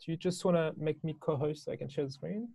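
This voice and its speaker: A man in his thirties with a French accent, explaining things casually and haltingly as an interview guest on a video call. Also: a little high, creaky, dark tone, husky, no echo. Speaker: guest, business owner and educator. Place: small indoor room, video call.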